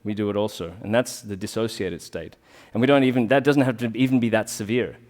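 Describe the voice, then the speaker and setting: Aussie guy talking to a small crowd, kinda low voice, earnest, lots of ums and restarts. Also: fairly quick, a little mellow. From Australia. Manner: earnest, reflective, with restarts and fillers. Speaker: talk speaker, rolfer. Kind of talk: informal community talk.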